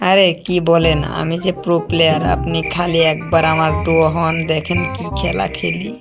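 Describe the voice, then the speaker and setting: A man's voice: fairly high pitch, level tone, moderate pace, vocal fry. A man chatting casually as an online player, earnest and pleading. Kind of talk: casual voice chat. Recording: game voice chat under music.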